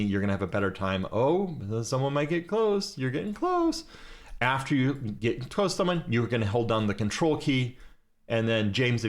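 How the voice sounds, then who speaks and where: A man with a fairly low voice runs a class inside a live game. He coaches his fleet step by step and tells them exactly where to go and what to click. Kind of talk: live instruction over voice chat during a game.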